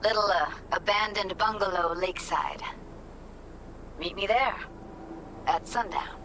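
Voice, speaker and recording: An actress playing a wry, guarded young American street techie in a video game, with slangy, clipped talk. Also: female voice, medium pitch, unhurried, background noise, lightly acted. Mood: teasing. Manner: slangy, clipped, teasing, breaking into breathy asides. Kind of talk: video game dialogue acting.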